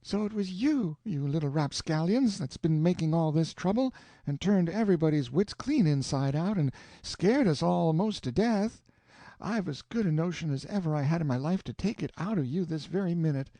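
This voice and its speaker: A man's voice: on the high side, animated, moderate pace, warm tone, dry recording, pitched above the performer's own voice. A man voicing a fussy, flustered elderly country aunt who scolds and exclaims in a raised voice. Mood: scolding.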